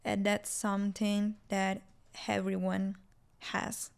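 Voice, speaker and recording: A woman's voice: medium pitch, monotone, takes it slowly, gravelly, smooth. A young woman from Italy with a strong Italian accent, speaking reflectively as a guest in an interview conversation. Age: young adult. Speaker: podcast guest, student.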